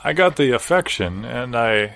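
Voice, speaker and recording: A man doing casual improvised sketch comedy in a mild, deadpan way. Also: mid-range voice, normal speed, gravelly. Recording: faint music behind the voice.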